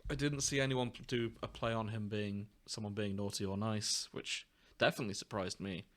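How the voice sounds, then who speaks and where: A man's voice: fairly low pitch, fairly even intonation, brisk, fairly bright tone. A man hosting a podcast, chatting casually and comically with co-hosts in a jokey, giggly way. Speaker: podcast host. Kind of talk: casual chat and comic reading aloud with co-hosts.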